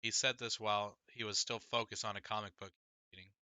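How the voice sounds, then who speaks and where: Very bright-voiced man reading an audiobook. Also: lowish voice, flat delivery, fairly quick, no room sound. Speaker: audiobook reader. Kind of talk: scripted first-person story narration.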